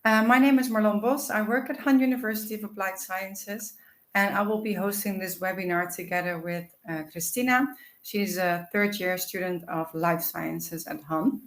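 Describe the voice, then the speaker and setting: A woman's voice: mid-range voice, normal speed, reverberant. A woman hosting an informational webinar, explaining things in a friendly way with frequent "uh" and "um". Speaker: webinar host.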